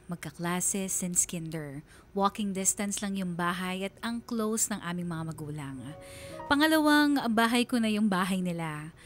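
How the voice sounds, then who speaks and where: Casting: female radio drama narrator, medium pitch, sentimental confessional read with faint music underneath. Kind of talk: dramatic first-person scripted radio narration.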